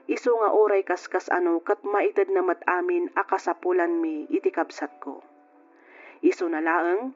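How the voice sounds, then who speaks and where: A woman giving calm, measured narration for an old radio story. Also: fairly low pitch. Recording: lo-fi old radio recording with music.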